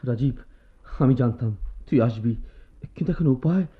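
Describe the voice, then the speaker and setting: An actor in a radio drama playing a troubled, despairing young student in love, downcast and confiding. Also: male voice, mid-range voice, normal speed, lightly acted. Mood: relieved, anxious. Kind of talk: Bengali radio drama acting. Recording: tinny phone-like radio-play recording.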